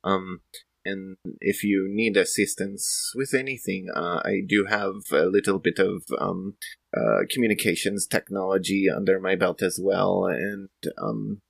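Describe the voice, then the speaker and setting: A man's voice: low voice, a little slow, creaky, no room sound, heavily acted. A performer playing a polite, reserved female doctor in a sci-fi tabletop roleplay, with formal, hesitant wording. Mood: polite, earnest. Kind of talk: improvised in-character tabletop roleplay.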